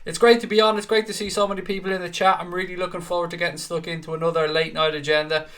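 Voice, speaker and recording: A man's voice: high-pitched, level tone, racing, fairly bright tone. A young Irish man hosting a casual live stream, chatting with viewers in an upbeat, talkative way with the odd swear. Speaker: host.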